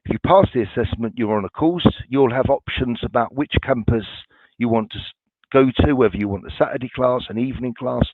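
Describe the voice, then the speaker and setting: A man's voice: mid-range voice, a little fast, no echo. Man answering questions on a tinny line. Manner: reassuring, informal, many restarts and ums. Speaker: college staff answering questions. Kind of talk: webinar Q&A, reading out viewer questions. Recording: dry, tinny phone-like sound.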